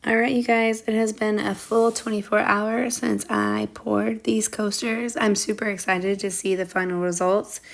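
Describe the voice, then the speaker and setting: A woman's voice: medium pitch, little pitch movement, measured pace, creaky, hardly any pauses. A woman narrating a casual craft tutorial in a chatty way, her voice a little under the weather. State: under the weather, recovering lost voice. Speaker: craft channel host.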